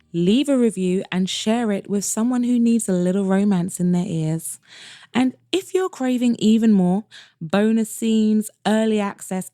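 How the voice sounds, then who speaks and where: A woman podcast host and narrator reading romance fiction in a dramatic, deliberate way. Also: medium pitch, dark tone, dry recording, clean studio sound. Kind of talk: first-person romance audiobook narration.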